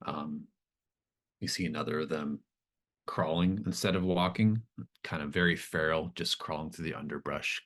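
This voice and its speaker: A man with an extremely low voice acts as game master for a tabletop horror game. He improvises his narration thoughtfully, feeling his way through each description with halting phrases. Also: fairly bright tone.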